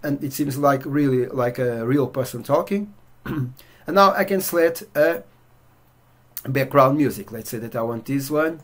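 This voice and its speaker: A middle-aged man from Portugal with a Portuguese accent, walking through a software demo in a relaxed way with many fillers. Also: medium pitch, measured pace, a little hiss or hum. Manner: relaxed, filler-heavy walkthrough. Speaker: software reviewer. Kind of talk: casual screen-share demo. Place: indoor room at home.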